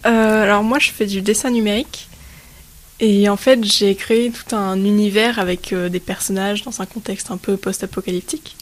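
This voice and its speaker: A woman's voice: medium pitch, steady tone, moderate pace. Casual young-sounding woman artist. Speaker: guest artist. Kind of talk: radio interview answers.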